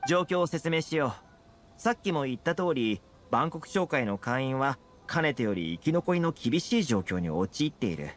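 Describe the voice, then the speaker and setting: A man voicing an easygoing, confident young fixer in a Japanese video game, talking smoothly and persuasively. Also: medium pitch, big pitch swings, normal speed, close to an everyday voice. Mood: composed, persuasive. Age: young adult.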